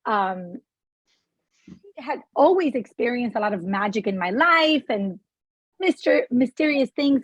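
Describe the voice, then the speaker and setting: A woman in her forties hosts a conversation, her voice fairly high and a little breathy as she tells an animated story. The sound is dry and close, with dull, lo-fi call audio. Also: no room sound. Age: 40s. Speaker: podcast host. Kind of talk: conversational interview.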